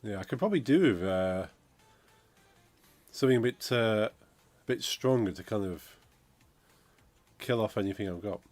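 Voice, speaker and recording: A man, croaky from a cold, chatting casually on a game dev stream and reading out chat. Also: lowish voice, expressive, moderate pace, long pauses, darkish tone, no echo. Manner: rambling, chatty, reads out chat messages. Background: funky music playing.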